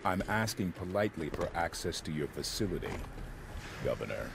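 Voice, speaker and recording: A composed soldier in command for a video game. His low voice moves widely in pitch while staying clipped and formal. Loud machinery and combat noise sit behind him. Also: sing-song, fairly quick, gravelly, heavily acted. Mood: composed, firm. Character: composed, commanding male armored soldier leading a squad. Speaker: game character, squad leader. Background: game ambience, vehicles, combat.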